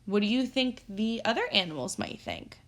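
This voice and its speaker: A woman teacher reading a story aloud for children, expressive and instructive, pausing to pose guiding questions. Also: mid-range voice. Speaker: elementary teacher.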